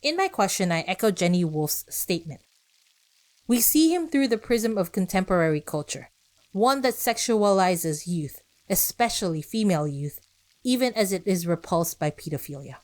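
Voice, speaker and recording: A woman's voice: mid-range voice, darkish tone. A woman podcast host reading scripted narration with personal asides, speaking conversationally and directly to her listeners.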